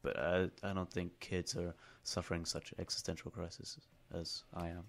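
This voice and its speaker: A man's voice: sits low, level tone, moderate pace, creaky. A man talking as a panelist in a casual discussion, musing and somewhat hesitant.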